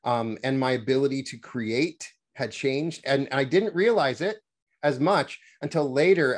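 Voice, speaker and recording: Halting middle-aged man hosting a call. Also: mid-range voice. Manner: halting, many restarts and stammers. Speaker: podcast host. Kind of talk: conversational interview. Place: home office, indoors. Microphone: headset on a video call.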